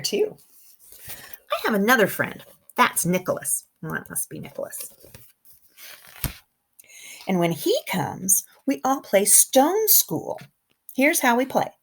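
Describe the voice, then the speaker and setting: Female kindergarten teacher, storytime read-aloud; unhurried pace, slightly husky voice, simple asides for little listeners. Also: medium pitch. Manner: animated, simple wording, pauses to comment and question. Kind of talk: expressive picture-book read-aloud for young children, with asides.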